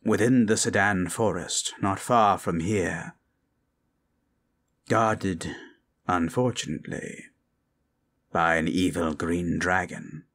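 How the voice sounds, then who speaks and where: A man voicing a grave skeleton-faced wizard with a low gravelly voice and a measured, formal manner, in a fantasy ASMR roleplay. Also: a bit bright, exaggerated voice. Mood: grave, foreboding. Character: grave, scary skeleton-faced male wizard, wise quest-giver.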